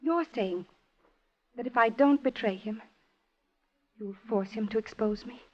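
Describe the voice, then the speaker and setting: A woman's voice: fairly high pitch, unhurried. An actress in a 1950s radio drama playing a refined, guarded married lady, plaintive and formal, with an old-fashioned dignified inflection. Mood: distressed. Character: refined, guarded married lady, sensitive former Communist, proud. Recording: muffled lo-fi old radio recording.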